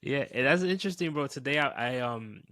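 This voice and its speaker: A man's voice: medium pitch, lively intonation, brisk, pushed and bright, no echo. Laid-back New York man, dry sound. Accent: New York, Dominican-influenced. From New York City. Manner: laid-back, peppers speech with "bro". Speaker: podcast guest. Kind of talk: casual chat.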